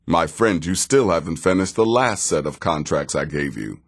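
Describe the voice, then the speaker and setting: A man playing a wry, sardonic middle-aged assassin in a fantasy video game, speaking in a dry, measured, sarcastic way. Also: low-pitched, animated, gravelly, husky, full character voice. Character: wry, sardonic veteran male assassin handing out contracts.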